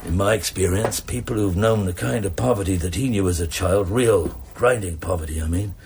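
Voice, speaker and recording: An actor playing a shrewd, drily courteous police chief inspector in a naturalistic radio drama, with measured, understated delivery. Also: male voice, sits low, a little mellow, slight background noise. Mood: measured explaining. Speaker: actor in a detective radio play.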